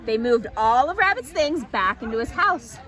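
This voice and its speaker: A woman reading a children's story aloud as an expressive storytime narrator. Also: fairly high pitch, moderate pace, some chatter behind.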